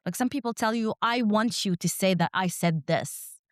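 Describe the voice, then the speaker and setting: A woman whose first language is Arabic, speaking as an academic guest in a reflective interview, measured and often ending points with a questioning "right?". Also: mid-range voice, dry recording, studio quality. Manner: measured, rhetorical "right?" tags.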